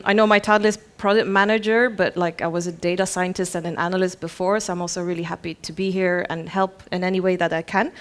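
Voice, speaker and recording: A woman's voice: medium pitch, clear, resonant tone. Chatty woman giving an informal talk. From Belgium, grew up in Bruges. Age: 30s. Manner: chatty, self-deprecating, informal. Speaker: conference speaker, head of product. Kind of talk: informal conference presentation.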